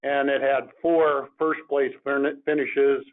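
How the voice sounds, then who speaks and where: A man's voice: medium pitch, little pitch movement, slow pace. Older man explaining over a phone line. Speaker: investment committee chair presenting. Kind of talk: explanatory financial presentation. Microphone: phone or webinar call. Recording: narrow tinny phone line.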